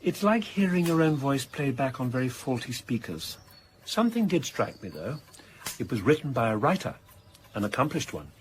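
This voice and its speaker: A male actor plays a reclusive crime writer in a naturalistic radio drama. He is guarded and cultured, choosing literary phrases in a measured, dry way at a middling pitch. Faint noise sits behind him. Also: sing-song, natural voice. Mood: wry. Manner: measured, literary turns of phrase, dryly wry.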